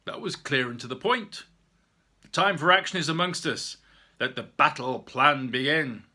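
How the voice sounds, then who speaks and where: A man's voice: mid-range voice, very animated, brisk, bright, forceful tone, slightly rough, exaggerated voice. A man voicing a proud male orangutan clan leader who speaks in formal, rallying tones in a storybook. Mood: commanding.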